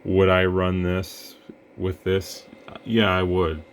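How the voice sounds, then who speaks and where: A man testing radio gear in a relaxed, rambling way, breaking into mic checks and counting. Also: sits low, slow pace, slight background noise. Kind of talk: casual product demo.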